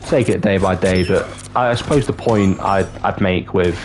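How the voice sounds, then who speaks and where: Low-voiced young man chatting on stream. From North Wales. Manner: chatty, halting, with many restarts. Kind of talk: casual livestream chat. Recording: game music clearly behind the voice. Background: game music.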